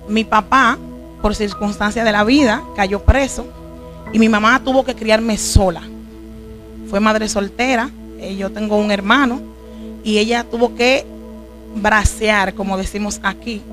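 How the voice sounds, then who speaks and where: A woman's voice: mid-range voice, steady tone, a little slow, a bit bright, faint music behind. A woman from the Dominican Republic with a Dominican accent, a preacher telling her life story in an interview, earnest and emotional. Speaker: guest, preacher. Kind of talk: testimony interview.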